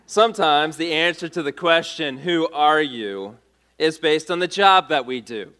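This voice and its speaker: Male pastor, bright and crisp, measured delivery with repeated questions, preaching in a church. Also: on the high side, lively intonation. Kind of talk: sermon.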